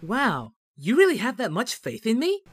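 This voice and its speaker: A man's voice: extremely high pitch, wildly swooping pitch, moderate pace, slightly rough, no echo, clean studio sound, heavily acted. A man voicing a cheerful, naive, dim-witted young martial arts hero in a parody anime dub, childlike and innocently blunt. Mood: trusting.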